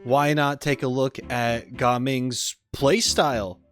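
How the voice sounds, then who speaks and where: A man's voice: medium pitch, steady tone, slow, faint music behind. A man hosting a reaction video, giving casual, chatty commentary with informal gamer slang. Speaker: host, animator reacting to game videos.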